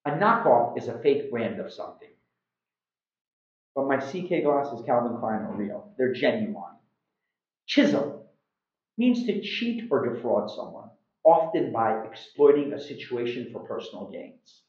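A middle-aged man teaching English informally to camera in an animated, emphatic manner, recorded indoors at home. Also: mid-range voice, measured pace. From Iran. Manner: animated, emphatic, with acted-out examples. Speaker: English teacher, video host. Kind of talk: informal slang lesson to camera. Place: small room at home, indoors. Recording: roomy, slightly dull sound.

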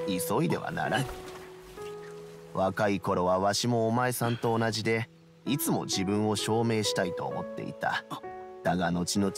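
An actor playing a patient, reflective old man in a game, with old-fashioned, admonishing phrasing. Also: on the low side. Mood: gently advising. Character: kindly old male elder, patient, reflective, gruff when sulking. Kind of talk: Japanese game voice acting.

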